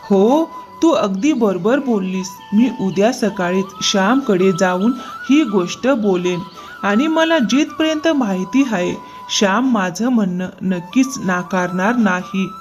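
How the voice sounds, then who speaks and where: A woman voicing an earnest, polite young male farmer in an animated moral story. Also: mid-range voice, unhurried, soft background music, close to an everyday voice.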